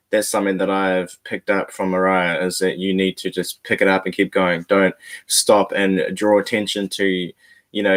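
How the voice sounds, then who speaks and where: A man hosting a reaction video, talking straight to his viewers in a laid-back, slangy way. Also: deep voice. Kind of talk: casual reaction commentary.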